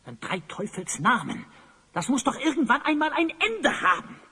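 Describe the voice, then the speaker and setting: An actor playing a tyrannical, gloating evil sorcerer in an audio drama, pompous and commanding. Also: male voice, very high voice, sing-song, normal speed, pushed and bright, slightly rough, heavily acted. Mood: exasperated. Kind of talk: German audio drama acting. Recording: long cavernous reverb.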